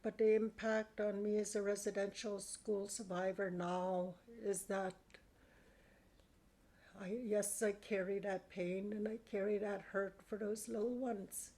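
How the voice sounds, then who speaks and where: Woman survivor giving testimony: low-key, gravelly delivery, even and unhurried. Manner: slow, earnest, halting with pauses. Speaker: residential school survivor. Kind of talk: personal testimony.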